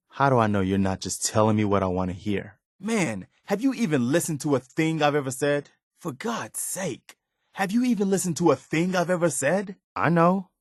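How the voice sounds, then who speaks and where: A man's voice: mid-range voice, no room sound, clean studio sound, natural voice. A male actor voicing an earnest, weary, loyal militia soldier in a video game, speaking in a plain-spoken, folksy way. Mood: exasperated, angry. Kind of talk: dramatic video game dialogue.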